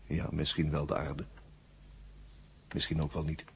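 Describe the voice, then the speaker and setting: A man playing an ancient, worn-out war machine brain in a 1970s radio drama, weary and forgetful, in flat, halting, repetitive statements. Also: extremely low pitch, vocal fry, a little hiss or hum, full character voice. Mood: weary, explaining. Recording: muffled old radio drama recording.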